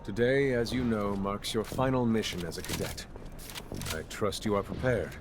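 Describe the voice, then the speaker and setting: A man's voice: on the low side, moderate pace, close to an everyday voice. An actor playing a stern, composed military master in a video game, formal and stiff as he instructs. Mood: formal, steady. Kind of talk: scripted video game dialogue. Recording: clear noise of battle ambience behind.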